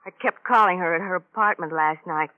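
A woman's voice: lowish voice, brisk, natural voice. An actress playing a drunken, bitter party girl in a radio crime drama, with slurred, rambling talk. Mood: dazed, grieving. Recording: muffled old radio broadcast recording.

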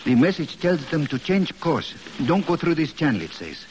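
An actor playing a dutiful submarine radio operator in an old radio drama. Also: male voice, a little high, sing-song, normal speed, a little mellow. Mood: dutiful, reporting. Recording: noisy old radio broadcast recording.

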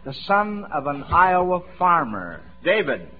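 A man's voice: on the high side, sing-song, a little slow, slight background noise. An American man hosting a 1940s radio quiz show, folksy and encouraging, with chuckles in his talk. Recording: dull vintage radio broadcast recording.

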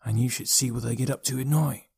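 A man's voice: medium pitch, expressive, a little fast, a little mellow, no echo, voice pushed up, close to an everyday voice. A man voicing a mischievous, chatty young boy in an audiobook, with a teasing, breezy, wry manner. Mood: offhand, conspiratorial. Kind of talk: audiobook character voice.

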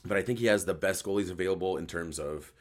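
A man with a hoarse voice hosting a casual live sports chat show, joking and thinking aloud as he answers viewers. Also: sits low, fast talker, background noise. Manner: joking, conversational, thinking aloud with repeated words. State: hoarse voice after a night outdoors. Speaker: host of a hockey post-game recap show.

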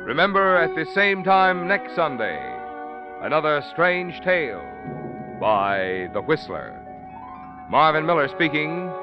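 A man's voice: on the high side, animated, takes it slowly. A man announcing scripted sponsor messages on 1940s radio with a polished, emphatic sales delivery. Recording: dull, dry vintage radio recording. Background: music.

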